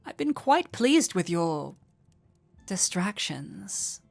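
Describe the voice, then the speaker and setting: A woman playing a flirty, warm-hearted tavern innkeeper in an intimate roleplay audio drama, teasing coyly and playfully. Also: fairly low pitch, very animated, creaky, soft background music, exaggerated voice. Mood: soft, reluctant. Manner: coy, playful, unhurried teasing.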